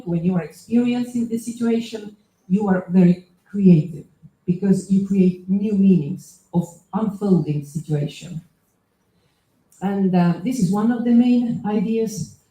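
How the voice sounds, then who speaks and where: A woman from Estonia, an associate professor giving a conference talk in English, pausing often with fillers and restarts. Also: mid-range voice, dark tone. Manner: hesitant, many fillers and restarts. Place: lecture hall. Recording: echoey hall.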